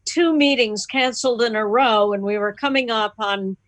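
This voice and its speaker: A woman's voice: fairly high pitch, moderate pace, a bit bright, no room sound. A woman chatting casually on a video call as a member hosting a club meeting. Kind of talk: casual chat.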